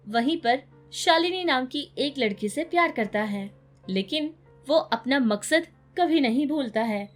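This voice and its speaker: A high-pitched woman narrates a scripted moral story for children. Faint music plays behind her storytelling.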